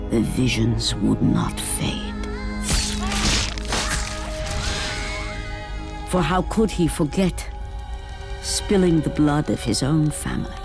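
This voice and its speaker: A male actor delivering grave, dramatic narration of an ancient Greek war legend in a deliberate, solemn style. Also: on the high side, gravelly. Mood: somber. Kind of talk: solemn epic scripted narration. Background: dramatic music.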